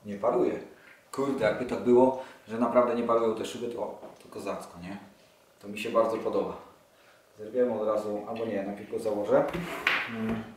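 A man's voice: fairly low pitch, fairly even intonation, normal speed, a little mellow. Chatty man in an echoey room. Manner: chatty, enthusiastic, thinking aloud while handling gear. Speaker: motorcycle vlogger. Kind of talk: casual unboxing vlog. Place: small indoor room, seated at a table. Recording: echoey room, distant camera mic, audible handling noise.

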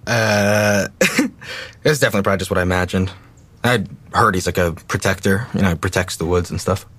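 Low-voiced, goofy teenage boy. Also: steady tone, fairly quick, fairly bright tone, slight background noise. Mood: amused, casual. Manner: casual, hesitant, teasing. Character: joking, laid-back teenage boy with goofy imagination.

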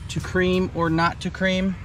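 A man in his thirties giving a relaxed, chatty step-by-step demonstration outdoors at a campsite under a canopy tent. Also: high-pitched, a little slow. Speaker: vlogger. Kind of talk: casual how-to demo. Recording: background music under voice. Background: music.

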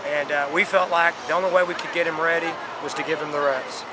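A man's voice: on the high side, fairly even intonation, fast. A middle-aged American man, a football coach, talking earnestly in a postgame interview and often restarting his phrases. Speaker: high school football coach. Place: outdoors at night by a stadium building. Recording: crowd chatter and loud outdoor field noise.

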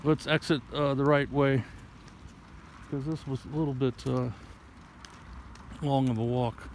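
A middle-aged man talks into a handheld phone as he walks, with chatty, grumbling asides. His voice sits at an ordinary mid pitch. Steady noise runs under the phone audio. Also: measured pace. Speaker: vlogger. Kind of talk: casual walking vlog. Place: outdoors on streets, then inside a shopping mall. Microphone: handheld phone camera.

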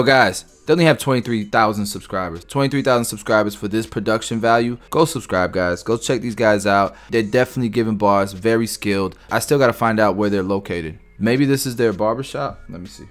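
Man, thirties, barber, casual slang, low voice, reaction commentary. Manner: relaxed, slangy, thinking aloud. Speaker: barber reacting to a tutorial video. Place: home studio desk.

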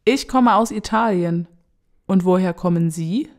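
A woman reading short practice dialogues for a language lesson, enunciating carefully and deliberately. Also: fairly low pitch, a little mellow. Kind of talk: slow scripted dialogue reading.

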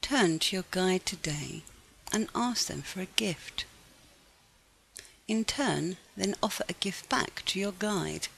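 A woman narrates a scripted guided meditation with a noticeably creaky edge to her voice. Her phrasing is measured and gently instructive. Faint to moderate background noise sits under the recording. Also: on the low side, rough recording.

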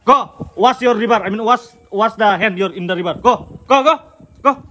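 High-voiced joking young man outdoors. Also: moderate pace, sharp tone, slight background noise. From Northeast India. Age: young adult. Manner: jokey, broken English, tag "na?". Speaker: vlogger, birthday host. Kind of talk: casual comedic chat. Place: open grassy field outdoors.

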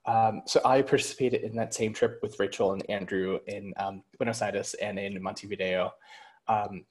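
A young man wearing a headset on a video call, telling a story in a relaxed way. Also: on the low side, normal speed. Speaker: panelist. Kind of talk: casual panel talk. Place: home room, indoors.

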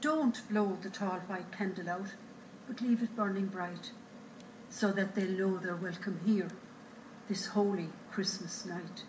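A woman recites a poem at a slow, steady pace with a mid-range voice. The theatre gives her words a roomy echo. A faint hiss sits beneath the recording. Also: mid-range voice. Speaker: poetry reader. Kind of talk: reading a poem aloud. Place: theatre stage. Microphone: far camera in the audience.

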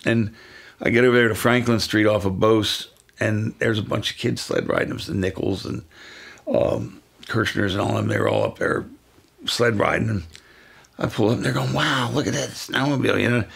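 An older man with a gravelly, creaky voice tells stories from his police days, lively and conversational. He slips into the voices of the people he quotes. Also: fairly low pitch, moderate pace. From Pennsylvania, USA. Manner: animated, acts out quoted voices. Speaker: retired police officer, guest. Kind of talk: storytelling in casual conversation.

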